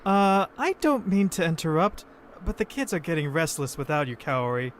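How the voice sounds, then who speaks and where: A man's voice: a little high, moderate pace, a little hiss or hum, natural voice. An actor playing a friendly, easygoing young man in an anime-style visual novel game. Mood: apologetic.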